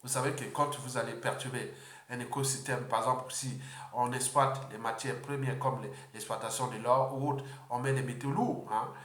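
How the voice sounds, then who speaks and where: A man from the Republic of the Congo giving an unscripted political address, halting often and repeating words. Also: mid-range voice, fairly even intonation, fairly bright tone, echoey room. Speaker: political speaker.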